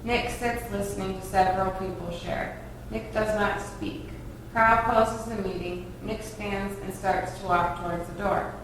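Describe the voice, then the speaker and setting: A woman's voice: medium pitch, fairly even intonation, a little slow, fairly bright tone. A woman reading stage directions as scripted narration at a table read. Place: reverberant room, far from mic. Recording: echoey room, distant mic, faint noise.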